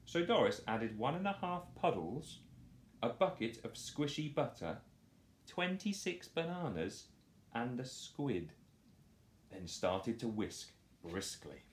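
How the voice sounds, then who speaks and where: Very animated man reading a children's story. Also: medium pitch, slow pace, a little hiss or hum. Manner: animated, playful delivery. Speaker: children's storytime host reading a picture book. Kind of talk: expressive read-aloud storytelling for children. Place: small living room, on a sofa indoors. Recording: roomy home echo.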